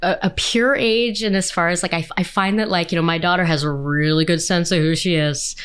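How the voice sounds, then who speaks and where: A middle-aged woman with a slightly low voice hosts an interview. She speaks casually and conversationally, musing as she goes and phrasing her questions loosely. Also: fairly even intonation, brisk, smooth. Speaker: interview host.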